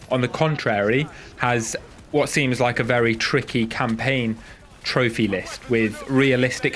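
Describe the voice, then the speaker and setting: A man's voice: medium pitch, a bit bright. A man with a head cold and a nasal voice, chatting casually and self-deprecatingly as host of a gaming video.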